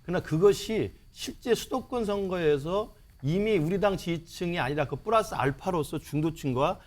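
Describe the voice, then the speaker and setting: Man on a tv panel rattling off long political points, high lively voice. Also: normal speed. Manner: long run-on argument, piling up clauses. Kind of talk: political commentary.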